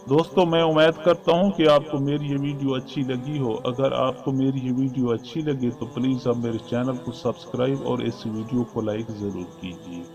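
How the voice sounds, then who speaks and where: A man telling a scripted moral story as a host, in a formal, measured way that addresses listeners directly. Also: medium pitch, darkish tone. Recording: muffled lo-fi voice over music. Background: background music.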